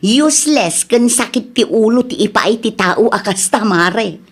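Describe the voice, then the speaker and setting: A woman's voice: on the high side, animated, a little slow, breathy edge, exaggerated voice. A woman playing a nosy, chatty villager in a comedy radio drama, with an Ilocano accent. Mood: prying, opinionated.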